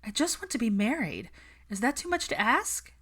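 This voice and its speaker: A woman's voice: on the high side, sing-song, moderate pace, a bit bright, voice pushed up. A woman voicing a stressed young witch in a story reading, venting emphatically about planning her wedding. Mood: resigned.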